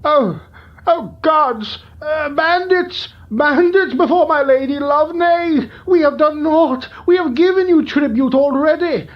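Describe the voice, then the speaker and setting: A man's voice: slow, muffled recording. A man voicing a flustered, sickly old male town reeve who stammers and pleads in old-fashioned speech. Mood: fretful. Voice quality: high, quavering, stammering. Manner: fretful, pleading, archaic speech.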